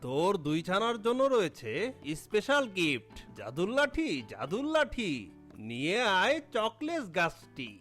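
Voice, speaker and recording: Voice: mid-range voice, sing-song, unhurried, a bit bright, soft background music. An actor playing a jolly, kindly eagle healer in a children's cartoon, with a hearty, boastful, elder-like way of talking. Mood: generous. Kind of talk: Bengali children's cartoon voice acting.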